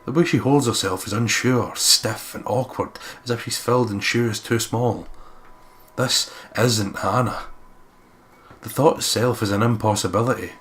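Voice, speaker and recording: A man's voice: fairly low pitch, normal speed, a bit bright. A man narrating a visual novel aloud as a let's player, reading expressively. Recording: faint background noise.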